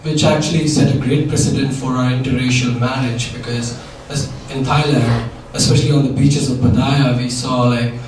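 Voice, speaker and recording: A man's voice: medium pitch, steady tone, moderate pace, hardly any pauses, darkish tone, slight background noise. A young man from India telling a wry, funny personal story to a live audience. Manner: wry, dry punchlines with audience laughter. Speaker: storyteller on stage. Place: live event venue. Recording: echoey hall, mic from far. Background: audience laughter.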